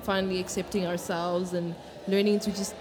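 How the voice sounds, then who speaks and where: A woman's voice: lowish voice, flat delivery, a little fast, rough recording. A nineteen-year-old woman from the Congo, a poet, talking openly and earnestly in a casual interview and laughing often. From Democratic Republic of the Congo. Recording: music playing behind.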